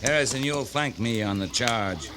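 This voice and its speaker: An actor playing a terse, resolute American cavalry captain in a 1950s western radio drama, giving clipped orders with authority. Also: male voice, medium pitch, lively intonation, normal speed, noisy background, natural voice. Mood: terse, firm.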